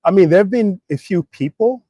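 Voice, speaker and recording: A middle-aged man from Nigeria speaking as an interview guest, reflecting on his career in a thoughtful, halting way with pauses mid-phrase. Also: sits high, expressive, dark tone, no echo. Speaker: interview guest, tech executive.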